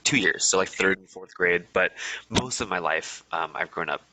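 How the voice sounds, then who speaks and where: A man's voice: sits low, moderate pace, sharp tone, slight background noise, low-quality recording. A young American man from California, talking casually as an interview guest, with many ums and restarts. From USA.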